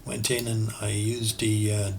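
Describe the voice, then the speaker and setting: Gravelly Irish man teaching CAD. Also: fairly low pitch, unhurried, over music. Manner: relaxed, thinks aloud while working, self-correcting. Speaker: architectural designer and CAD tutor. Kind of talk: screen-recorded software tutorial. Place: voice-over for screen recording.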